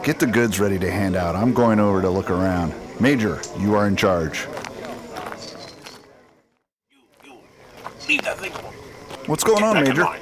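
An actor playing a level-headed, decisive civilian leader of a space expedition in a radio drama. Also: male voice, lowish voice, sing-song, brisk, a crowd in the background.

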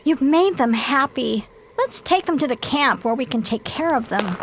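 An actress playing an eager, curious scientist on an alien expedition, earnest and deliberate, in a radio drama. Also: female voice, high-pitched, lively intonation, a little hiss or hum, low-quality recording. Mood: excited.

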